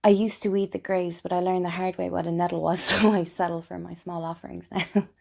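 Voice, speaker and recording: A woman playing a lonely, wry, broken woman confiding in Death in an intimate, hesitant theatrical monologue, with a husky, breathy voice. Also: lowish voice, level tone, a little fast, darkish tone. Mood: tender, wistful. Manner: confessional, self-deprecating, hesitant. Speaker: writer and performer of a one-woman play.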